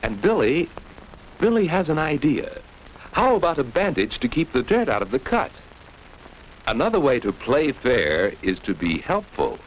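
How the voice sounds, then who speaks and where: A man's voice: mid-range voice, sing-song, normal speed. A man narrating a 1940s educational film for children in a didactic, storytelling way, posing questions to young listeners. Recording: dull, noisy 1940s film soundtrack.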